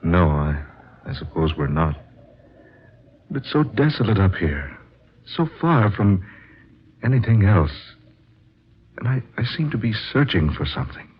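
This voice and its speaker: Earnest, brooding fantasy writer: deep, deliberate delivery, vintage radio drama, slightly muffled sound. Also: male voice, very animated, slightly rough, full character voice. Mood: searching, troubled. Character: earnest, troubled male fantasy writer searching for truth. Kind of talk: 1940s radio drama acting and narration.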